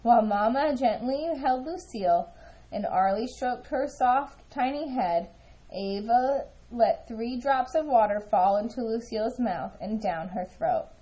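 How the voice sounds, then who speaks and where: A woman narrating a children's picture book aloud in an expressive storytelling style. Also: fairly high pitch, measured pace, dull audio. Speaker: storybook reader.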